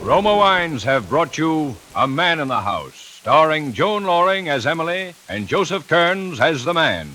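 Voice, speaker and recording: High-pitched, animated male radio announcer. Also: a little slow, few pauses. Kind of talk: 1940s radio announcing, dramatic. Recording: vintage radio recording over loud music.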